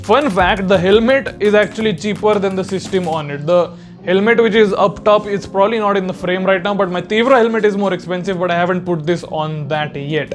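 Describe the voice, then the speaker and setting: A man's voice: high voice, brisk, soft background music. A young man giving a casual, explanatory product review in an informal way. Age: young adult. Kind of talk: casual spoken review to camera.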